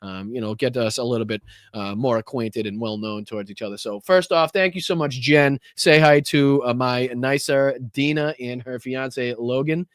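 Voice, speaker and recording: A man's voice: mid-range voice, normal speed, no echo, studio quality. Rambling, profane male podcast host. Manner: rambling, profane, many fillers. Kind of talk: casual livestream political commentary.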